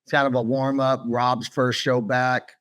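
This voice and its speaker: A man in his sixties talks in a loose, blunt way, swearing freely and sounding worn out. His pitch sits in an ordinary middle range, and he comes through a video call. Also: flat delivery, a little slow, a bit bright, clear, resonant tone. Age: 60s. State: tired. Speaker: guest guitarist. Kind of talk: casual interview chat.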